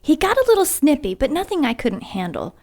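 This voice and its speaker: A woman's voice: sits high, big pitch swings, fairly quick, darkish tone. A woman playing a polite, efficient, inquisitive assistant to a bishop in an audio drama, courteous and questioning.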